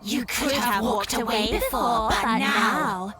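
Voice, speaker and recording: A woman's voice: on the high side, takes it slowly, over loud music. An actress playing an ominous, reproachful female spirit from beyond, speaking portentously in a horror game. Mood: menacing. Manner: portentous, accusing. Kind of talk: slow dramatic horror game acting.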